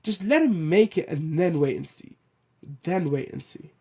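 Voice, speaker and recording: Loud, blunt man ranting. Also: fairly high pitch, moderate pace, slightly rough. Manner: animated, blunt, swears casually, restarts sentences. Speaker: host of a film and TV news show. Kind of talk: opinionated solo commentary rant.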